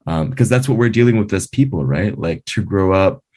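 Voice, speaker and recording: A man's voice: fairly low pitch, animated, a little fast, a little mellow. A man, a film composer, talks through his ideas in a casual interview, with frequent fillers like "you know" and "like". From Canada.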